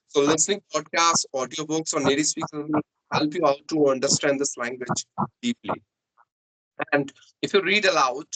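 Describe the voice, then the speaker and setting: A man's voice: on the high side, bright, forceful tone, no echo, low-quality recording. A man with an Indian English accent, coaching English in an earnest, explanatory way on a video call. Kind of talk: online conversation practice.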